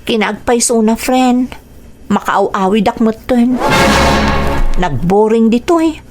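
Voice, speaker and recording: A woman's voice: on the high side, slow pace, over loud music. A young woman voicing an anxious young mother in a radio drama, speaking with hesitant unease. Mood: uneasy. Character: young mother, anxious about her strict father.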